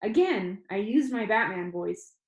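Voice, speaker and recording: Woman reader, mid pitch, chatty storytime read-aloud, roomy echo. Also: expressive, unhurried. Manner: gentle, chatty asides. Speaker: reader of a children's novel. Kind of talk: reading a novel aloud with chat to a young listener.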